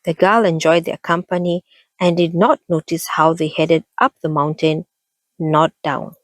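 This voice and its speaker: A woman reading a children's story aloud as an expressive storyteller. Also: low voice, unhurried, no room sound.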